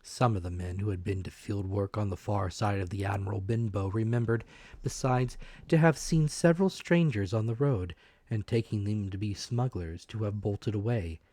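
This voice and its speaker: Male audiobook narrator, lower-pitched, measured and expressive dramatic storytelling, very dry recording. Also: no echo. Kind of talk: dramatic scripted narration of a classic adventure story.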